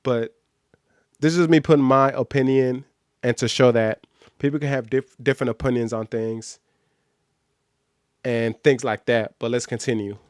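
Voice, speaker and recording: A man's voice: mid-range voice, steady tone, moderate pace, long pauses, no echo, studio quality. A man from Nigeria who grew up in the United States, with an American accent, reacting to a video as a streamer in casual slang and repeating phrases for emphasis. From born in Nigeria, grew up in the United States.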